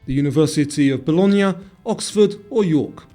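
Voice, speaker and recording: A man's voice: a little high, brisk, warm tone, soft background music. A man presenting a quiz in scripted narration, reading out questions and answers.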